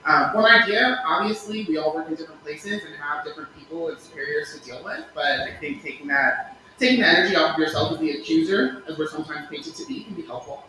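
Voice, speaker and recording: A woman's voice: sits low, fast talker, bright, forceful tone. A woman on a panel who gives candid, practical advice and laughs easily. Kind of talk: panel discussion. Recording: echoey room with background noise.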